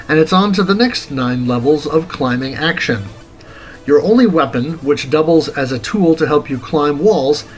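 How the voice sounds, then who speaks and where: Higher-voiced man narrating over loud music. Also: poor audio. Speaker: host of retro game review show. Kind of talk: scripted narration, dramatic reading of a game review.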